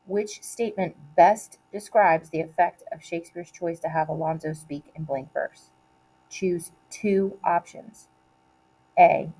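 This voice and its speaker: A woman reading aloud like a teacher giving a test, in a measured, deliberate way with short, separated phrases. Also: low-pitched, warm tone, poor audio. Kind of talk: read-aloud of multiple-choice questions and quoted play lines.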